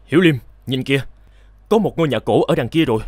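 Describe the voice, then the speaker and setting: Man playing a lively young travelling scholar. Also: medium pitch, quick pace, no room sound, studio quality, natural voice. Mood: eager. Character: young travelling scholars in an old folk-tale cartoon. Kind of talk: animated folk-tale dubbing.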